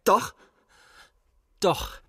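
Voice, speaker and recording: An actor playing an insolent, defiant common soldier in a radio play, taunting and excitable. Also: male voice, drawn-out words. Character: insolent, defiant rank-and-file soldier baiting his superior.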